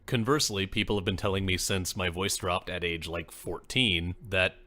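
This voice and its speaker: Man with a bright, radio-ready voice. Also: low voice, steady tone, normal speed. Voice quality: voice people call radio-like. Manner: earnest, peppers speech with "like". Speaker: podcast co-host and actor. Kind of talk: casual podcast chat.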